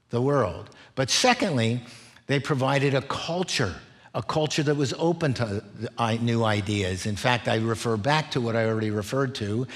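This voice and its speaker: A middle-aged man preaching in an explanatory way, laying out points and often checking in with listeners. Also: medium pitch. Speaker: preacher, former missionary. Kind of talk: expository sermon, teaching in numbered points.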